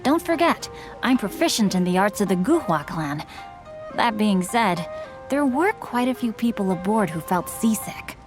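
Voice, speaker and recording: A woman's voice: medium pitch, big pitch swings, over music, natural voice. A woman playing a courteous young swordsman-author. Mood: polite. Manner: courteous, formal and measured. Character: young male swordsman and novelist, polite and bookish. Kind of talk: video game voice acting.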